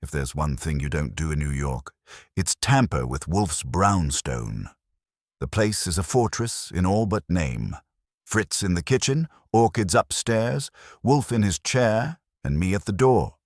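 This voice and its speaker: A man's voice: sits low, expressive, a little slow, no room sound, clean studio sound. A man narrating a scripted first-person detective story in a wry, hard-boiled way.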